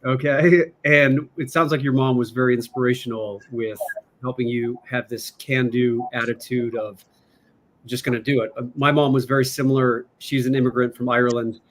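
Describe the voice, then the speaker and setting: A man's voice: medium pitch, fairly even intonation, moderate pace, poor audio. A man hosting a casual interview, asking long, loosely worded questions with fillers. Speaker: podcast host.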